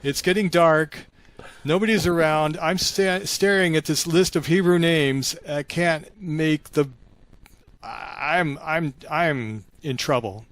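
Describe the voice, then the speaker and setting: A mature man telling stories conversationally in an interview, with a halting, reflective delivery. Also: a little high. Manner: halting and reflective, animated when acting out remembered dialogue. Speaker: podcast guest, author of fiction.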